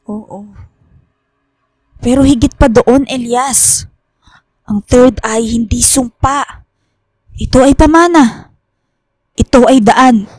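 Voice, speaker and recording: An actress playing a remorseful, secretive professor with solemn, deliberate delivery in a radio horror drama. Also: female voice, a little high, darkish tone, no room sound. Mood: pleading, insistent. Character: remorseful secretive female professor confessing family guilt.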